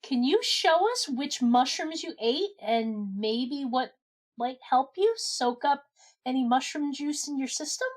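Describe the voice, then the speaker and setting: Woman as sensible worried gnome lady, high voice, patient and a bit hesitant, audio comedy. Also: animated, unhurried. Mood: patient coaxing. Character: concerned, level-headed female gnome. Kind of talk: comedic scripted audio drama.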